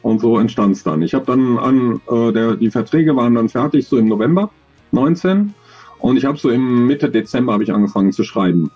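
A man in his forties, an audio drama author, talking thoughtfully in an interview over a video call, with many pauses and fillers. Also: mid-range voice, expressive, darkish tone, slightly rough, dull audio, faint music behind. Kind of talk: relaxed conversational interview.

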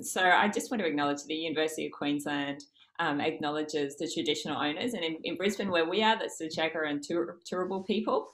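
A woman in her thirties with an Australian accent, a university lecturer giving a conference presentation over a video call in a measured way with frequent fillers. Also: low-pitched, gravelly, fairly bright tone.

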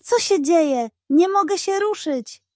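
A woman's voice: very high-pitched, lively intonation, slow, a little mellow, no room sound, clean studio sound, pitched above the performer's own voice, exaggerated voice. A woman voicing a wicked, blustering male liquorice monster sorcerer with a pompous, menacing manner. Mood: panicked.

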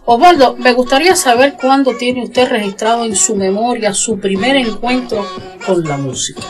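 A Cuban-accented woman hosts a radio interview in a measured, formal manner. Clear music plays under her voice. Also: on the high side, expressive. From Cuba. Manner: measured, courteous, formal address. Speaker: radio show host. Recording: music bed behind speech.

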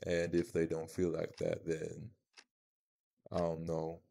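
An actor playing an imposing, protective vampire man in an audio roleplay, dry-witted, haughty and measured, with a gravelly voice. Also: low-pitched, completely flat delivery, dark tone, a little hiss or hum, exaggerated voice.

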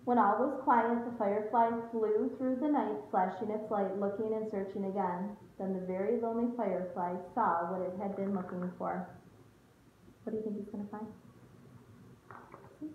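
A woman's voice: medium pitch, steady tone, moderate pace. A woman in her thirties reading a picture book aloud to young children like a teacher, expressively, with questions and asides to them. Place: small room at home. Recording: muffled phone-like audio, roomy, faint noise.

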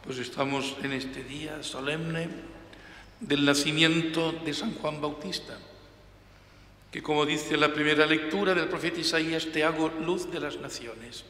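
A man's voice: on the high side, fairly even intonation, measured pace. An older man in his sixties, a priest reading the Gospel at a lectern microphone and then preaching an emphatic homily. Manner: emphatic, gestures with hands, varies loudness for emphasis. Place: large church, at a lectern. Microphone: gooseneck lectern mic. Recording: reverberant church hall, faint room noise.

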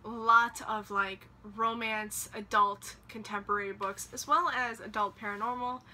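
A woman's voice: on the high side, steady tone, a little slow, bright, forceful tone. A young woman chatting casually to camera about her reading plans, rambling with lots of fillers, recorded in a small room by her bookshelves. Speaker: book vlogger.

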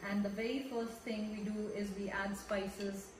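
A woman's voice: mid-range voice, level tone, moderate pace, recorded from a distance, music in the background. A woman in her thirties, a chef, patiently talking someone through a cooking demonstration step by step in a large home kitchen. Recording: echoey kitchen with background noise.

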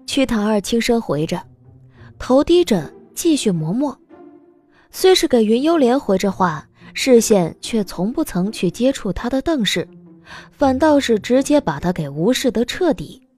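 A woman's voice: a little high, big pitch swings, unhurried. A woman narrator reading a story in a dramatic audiobook style. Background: soft background music.

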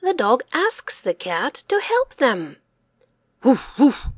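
Slow, lively-voiced woman storyteller. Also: high-pitched, no room sound, studio quality. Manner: slow, expressive, sing-song storytelling. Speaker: children's story reader. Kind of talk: reading a picture book aloud to children.

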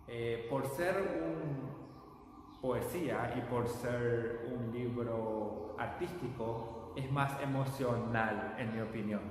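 A man in his twenties from Paraguay with a Paraguayan Spanish accent, teaching a Bible lesson to a camera in a small room with a whiteboard, speaking slowly and deliberately. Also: medium pitch. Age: 20s. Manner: slow, deliberate, gestures while speaking. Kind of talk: warm-toned classroom lecture to camera. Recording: very echoey room with a long reverb tail and faint noise.

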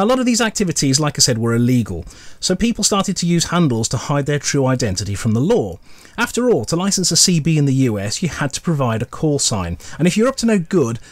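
A man's voice: mid-range voice, no echo. A middle-aged British man giving a chatty, scripted retrospective narration with wry, colloquial humour. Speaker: presenter.

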